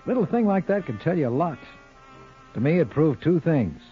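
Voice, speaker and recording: Glib radio-detective lawyer, muffled vintage sound. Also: male voice, a little high, sing-song, normal speed, soft background music. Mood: wry, confiding. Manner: glib, wry banter. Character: wisecracking, flirtatious male lawyer in a detective story. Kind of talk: 1950s radio detective acting. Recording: dull old-time radio recording.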